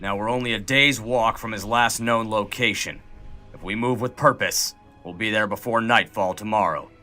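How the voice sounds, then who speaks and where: A man plays a gruff snowman major in a comedy war drama. His voice is lowish and very sharp, stern and commanding as he barks clipped lines. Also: normal speed, soft background music. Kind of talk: comic war audio drama acting.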